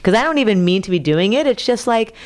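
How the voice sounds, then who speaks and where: A woman's voice: mid-range voice, lively intonation, fast talker. A woman from Queens co-hosting a casual podcast, a nutrition coach who talks bluntly and directly. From Queens, New York. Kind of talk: casual chat.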